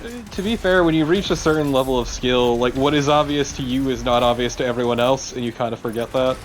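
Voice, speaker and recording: A man's voice: mid-range voice, fast, a little hiss or hum. A man co-commentating on a video game in casual, informal chat with a sweary streak.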